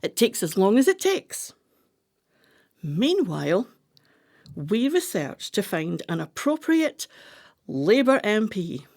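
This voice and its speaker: A woman's voice: mid-range voice, sing-song, slow pace, long pauses, darkish tone, heavily acted. A woman playing a scheming, imperious, artistic retired wife in a radio play, with dramatic, emphatic delivery. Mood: excited, conspiratorial.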